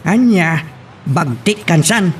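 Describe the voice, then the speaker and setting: A man's voice: high-pitched, animated, slow, slight background noise. A man with an Ilocano accent playing an exasperated, scolding villager in an amateur audio drama. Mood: angry, scolding.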